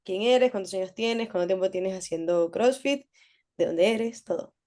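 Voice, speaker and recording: A woman's voice: mid-range voice, moderate pace, a little mellow. A woman coach interviewing a client, asking friendly prompting questions and rephrasing each one several times. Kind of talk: casual interview.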